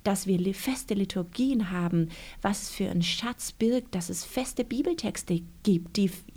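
A woman's voice: mid-range voice, measured pace, a little mellow. A woman, an author being interviewed, talking casually and reflectively, with many fillers and restarts.

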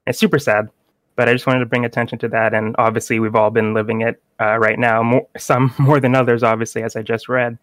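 A man's voice: medium pitch, flat delivery, fairly quick, fairly bright tone, no echo. Earnest man, dry close sound. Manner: earnest, reads aloud with small stumbles. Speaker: podcast co-host reading gaming news. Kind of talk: casual podcast chat.

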